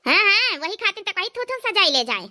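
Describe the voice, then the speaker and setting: A woman's voice: very high-pitched, big pitch swings, fairly quick, pushed and bright, exaggerated voice. A woman voicing a proud, sarcastic village mother-in-law in a cartoon comedy, scolding sharply in an Awadhi accent.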